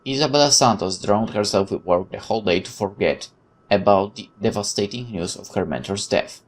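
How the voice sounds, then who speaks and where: A man's voice: on the low side, normal speed. A man reading visual novel narration aloud dramatically, with casual asides as a gaming host.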